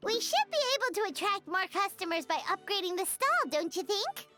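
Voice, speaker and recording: A woman's voice: extremely high pitch, expressive, fairly quick. A woman voicing a tiny, eager, childlike fairy companion in a video game. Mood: curious, pleased. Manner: childlike, eager, speaks of herself in third person. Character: tiny excitable floating fairy girl companion. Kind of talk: animated video game voice acting. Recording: music behind the voice.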